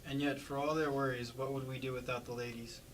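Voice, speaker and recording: A man's voice: mid-range voice, little pitch movement, quick pace, natural voice. A man playing a condescending, sarcastic county attorney with a patronizing, probing manner in an amateur staged play reading. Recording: distant mic with faint background noise.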